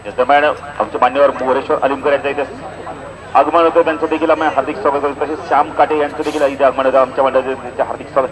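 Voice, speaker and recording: A man's voice: medium pitch, flat delivery, fast talker, rough recording. A man giving live commentary and announcements at a local outdoor cricket tournament. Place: outdoor village cricket ground. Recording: outdoor event sound, crowd behind.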